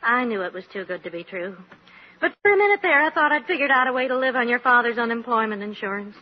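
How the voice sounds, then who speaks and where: An actress playing an anxious, practical middle-aged wife and mother in a 1940s radio sitcom, pleading and expressive. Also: fairly high pitch, quick pace, slight background noise, lightly acted. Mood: amazed. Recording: muffled 1940s radio, audience behind.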